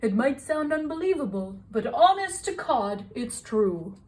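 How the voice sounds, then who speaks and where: A woman's voice: high voice, big pitch swings, a little slow, darkish tone. Woman doing an eager boy storyteller. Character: eager boastful young male storyteller.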